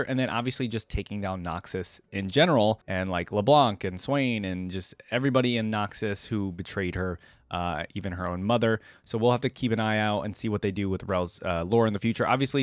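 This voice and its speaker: Casual male commentator, lowish voice, conversational and rambling, recorded dry for an online reaction video. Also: no echo. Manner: conversational, rambling, often seeks agreement with "right?".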